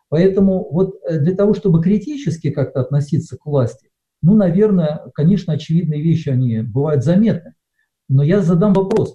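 Mellow-voiced man preaching, dull recording. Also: on the high side. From Russia. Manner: conversational, with many hesitations. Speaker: pastor. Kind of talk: sermon. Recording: dull lo-fi sound.

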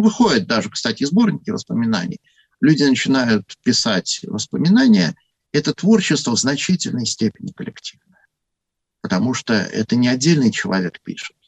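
A man with a husky voice giving an unscripted talk on church history, thoughtful and full of hesitations. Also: mid-range voice, no echo.

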